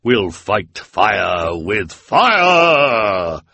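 A man's voice: deep voice, animated, slow, husky, no echo, full character voice. A man voicing a commanding villainous woman in a storybook reading. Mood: triumphant. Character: bossy villainous woman, triumphant.

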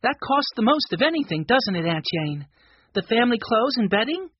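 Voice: mid-range voice, big pitch swings, moderate pace, breathy edge, no echo, lo-fi sound, pitched above the performer's own voice. A narrator voicing an earnest, kind young girl with emphatic phrasing in a children's storybook. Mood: admiring.

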